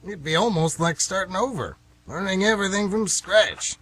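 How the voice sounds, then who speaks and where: Casting: male actor as stubborn, folksy retiree of fifty-six, husky and bright, an aggrieved grumbler for radio theatre. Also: high-pitched, expressive, normal speed, heavily acted. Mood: reluctant, wistful. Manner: folksy, defensive, exclamatory. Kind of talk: amateur radio theatre acting.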